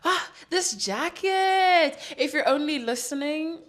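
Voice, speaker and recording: A woman's voice: sits high, animated, slow pace. South African-born woman hosting a podcast. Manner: warm, effusive praise and questions. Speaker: podcast host. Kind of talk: casual podcast interview.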